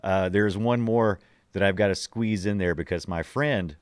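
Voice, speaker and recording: A man in his forties hosting a podcast, chatting casually and nostalgically and telling stories in an animated way. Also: low voice, moderate pace, clear, resonant tone, no echo, studio quality. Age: 40s. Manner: animated storytelling with acted-out quotes. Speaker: podcast host.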